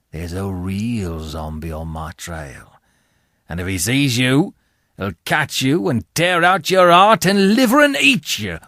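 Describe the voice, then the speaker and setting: A man voicing a ragged escaped convict in an audiobook, rough, hungry and threatening, with rustic speech and dropped sounds. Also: mid-range voice, fairly bright tone, no echo, studio quality, exaggerated voice. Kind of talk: dramatised audiobook character voice.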